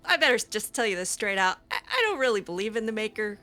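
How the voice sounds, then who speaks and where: A woman's voice: medium pitch, very animated, quick pace, bright, forceful tone, soft background music. A woman roleplaying over a video game, talking casually and hesitantly with a wry edge. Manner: hesitant, wry, lots of ums. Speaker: roleplay gamer. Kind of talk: casual in-character roleplay chat.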